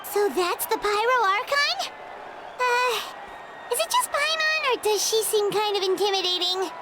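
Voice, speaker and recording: A woman voicing a tiny, chirpy fairy companion in a video game, with a squeaky, childlike, cartoonish voice. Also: animated, measured pace, very noisy. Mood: curious, hesitant. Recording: loud music behind the voice.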